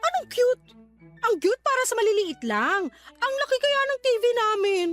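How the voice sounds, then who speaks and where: Very high, swinging voice of a showy Filipino mother who loves to one-up people. Her radio drama delivery is exaggerated and animated, with faint music underneath. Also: moderate pace, exaggerated voice. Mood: boastful, showy. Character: boastful, envious Filipino mother, one-upping her neighbor. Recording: light background music under dialogue.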